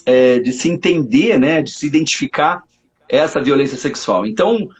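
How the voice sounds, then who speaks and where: A man, hoarse, speaking as a psychologist guest in a casual live interview, hesitant and full of restarts. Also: medium pitch, animated, moderate pace. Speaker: psychologist and sex therapist guest.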